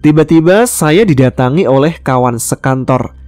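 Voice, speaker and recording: A man from West Kalimantan, Indonesia, telling a true-life ghost story in a calm, measured way, voicing the dialogue plainly. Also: on the high side, no echo, faint music behind. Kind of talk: first-person ghost story narration.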